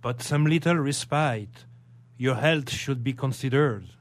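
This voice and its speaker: A man playing an earnest, concerned gentleman in a radio drama, with formal, measured theatrical delivery. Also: medium pitch, lightly acted.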